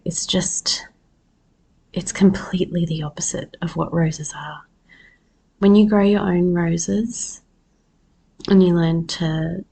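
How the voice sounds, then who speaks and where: A woman hosts a solo podcast in a very husky, hoarse voice that often drops into fry. She takes her time, speaking slowly and reflectively, as if sharing personal thoughts. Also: deep voice, darkish tone. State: hoarse after losing voice. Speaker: podcast host. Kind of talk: personal solo podcast talk about herbs.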